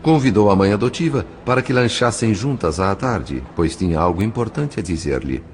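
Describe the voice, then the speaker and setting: A man with a Brazilian accent reading scripted, literary narration for an audio novel in a measured storytelling manner. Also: fairly low pitch, expressive, slight background noise.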